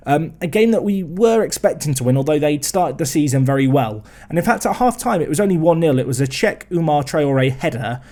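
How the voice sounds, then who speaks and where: Young man, slightly high-pitched, chatty gaming commentary, self-mocking asides. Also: animated, brisk, few pauses, darkish tone. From England. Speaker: gaming video host. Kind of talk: casual game commentary.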